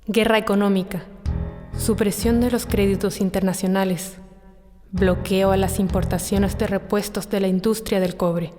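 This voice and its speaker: A woman gives a measured, scripted dramatic political narration for a game intro. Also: medium pitch, long reverb tail. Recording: narration under loud music. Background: loud music.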